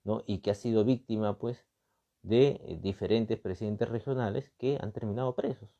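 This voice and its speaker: Dull lo-fi recording, Peruvian man, mellow voice, political commentator, emphatic repetition, rhetorical questions. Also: fairly low pitch, normal speed. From Peru. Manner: emphatic, repeats phrases, frequent rhetorical questions and tags. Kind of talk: extemporaneous analytical talk.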